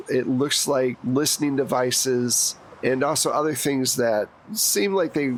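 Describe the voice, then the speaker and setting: Relaxed male game master. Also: mid-range voice, slight background noise, rough recording. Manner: relaxed, chatty narration with fillers. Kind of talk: recapping and running a tabletop game.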